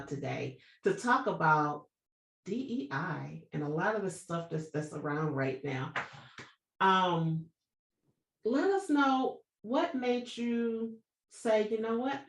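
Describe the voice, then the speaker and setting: A woman podcast host who reads a guest introduction and then interviews casually, often stumbling and restarting her sentences. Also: low-pitched. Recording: echoey room.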